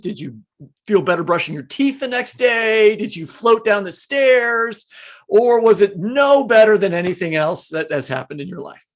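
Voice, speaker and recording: A woman co-hosting a podcast, interviewing in a conversational, playful and wordy way. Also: mid-range voice. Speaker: podcast co-host.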